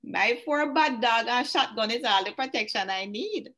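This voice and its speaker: A woman's voice: on the high side, sing-song, unhurried, fairly bright tone, heavily acted. A woman playing a feisty, independent elderly farm woman with a Jamaican accent in a radio drama, firm and teasing. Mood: amused, firm.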